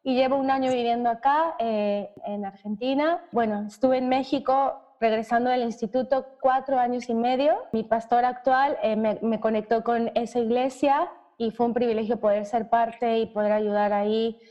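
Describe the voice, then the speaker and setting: A woman's voice: a little high, fairly even intonation, moderate pace, dark tone. A young Mexican woman with a Mexican accent, introducing herself as a podcast guest in a bubbly way and laughing through her words. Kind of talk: casual self-introduction. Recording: dull lo-fi audio.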